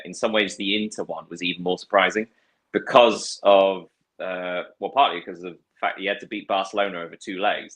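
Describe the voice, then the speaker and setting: Man, low voice, bright tone, casual football talk, thoughtful, halting. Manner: thoughtful, many fillers and restarts. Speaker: podcast guest.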